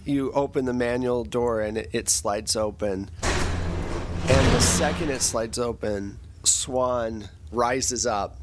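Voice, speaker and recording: A man narrating a tabletop game as game master, improvising in a halting way as he thinks aloud. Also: mid-range voice, heavy background noise.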